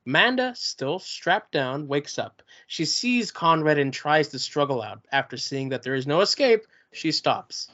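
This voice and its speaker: A man reading stage directions at a table read, in a plain, even way with small stumbles. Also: on the high side, a little slow, hardly any pauses. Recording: muffled lo-fi recording.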